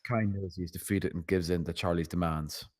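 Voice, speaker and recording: A man's voice: low-pitched, steady tone, moderate pace, a little mellow. A man narrating scene directions from a screenplay at a table read. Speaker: narrator reading stage directions.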